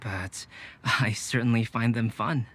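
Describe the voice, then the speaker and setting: An actor playing a calm, courteous young male alchemist and artist who speaks softly and measuredly. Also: medium pitch, a little hiss or hum. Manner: soft-spoken, measured, courteous. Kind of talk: game voice acting.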